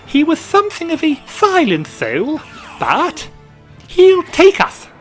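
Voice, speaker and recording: Excitable high-voiced chum, a man doing falsetto, lively swoops, soft music behind. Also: slow, heavily acted. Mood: chirpy.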